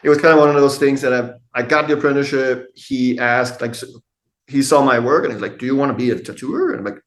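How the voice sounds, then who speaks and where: A man's voice: medium pitch, fast. A middle-aged Danish man with a Danish accent, a tattoo artist chatting candidly as a podcast guest, laid-back, swearing casually and laughing often. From Denmark. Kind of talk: casual interview conversation. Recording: echoey room.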